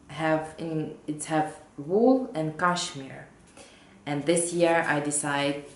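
A woman in her thirties with English as a second language, hosting a knitting podcast at home and sometimes halting to find her words. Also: low voice. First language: not English, not Danish. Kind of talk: casual chat to camera. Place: living room at home, indoors. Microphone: camera or laptop mic at desk distance. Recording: roomy home echo.

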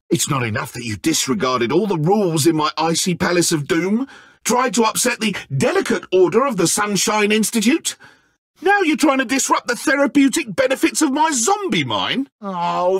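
Raspy, wildly swooping petulant godlike villain. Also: male voice, sits high, fairly bright tone, no echo, studio quality, full character voice. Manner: mock-friendly, grandiose, sulky. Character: petulant, theatrical godlike villain, childish tyrant and showman. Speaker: video game villain. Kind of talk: theatrical game character acting.

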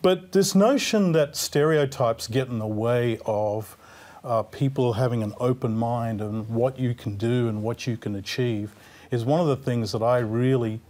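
A man's voice: mid-range voice, measured pace, darkish tone. A man in his fifties on a studio panel, telling a personal story in a reflective way with a lapel mic. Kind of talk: panel discussion.

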